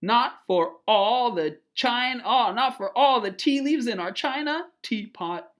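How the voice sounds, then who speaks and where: Reader as high, defiant little wolf. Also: expressive, takes it slowly, a bit bright. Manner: emphatic, chanting refusals. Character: three small frightened but defiant little wolves.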